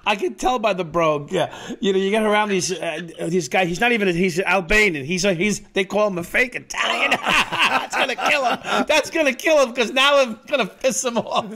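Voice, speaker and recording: High-voiced joking male co-host. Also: a little fast, hardly any pauses, bright, forceful tone. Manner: joking, rambling, laughs often. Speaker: co-host, ex-detective. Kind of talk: casual chat.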